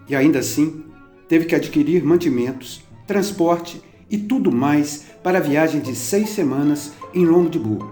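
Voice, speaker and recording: A man reads a documentary script in a measured, steady way. His voice sits a touch high yet sounds mellow and warm. The sound is roomy, with faint instrumental music underneath. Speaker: documentary narrator. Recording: roomy narration over soft instrumental music.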